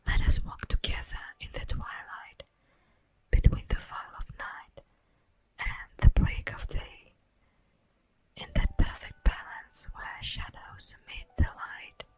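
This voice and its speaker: A woman playing a solemn, tender elf guardian of the forest in a breathy, whispered ASMR roleplay, speaking formally and poetically. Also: natural voice, poor audio. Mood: tender, earnest. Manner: slow, formal, poetic, drawn-out delivery.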